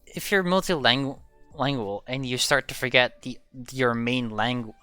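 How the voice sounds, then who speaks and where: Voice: medium pitch, a little slow, sharp tone, clean tone, no room sound, soft background music. A young adult from Belgium, a native Dutch speaker, chatting casually in a podcast and rambling with frequent restarts and swearing.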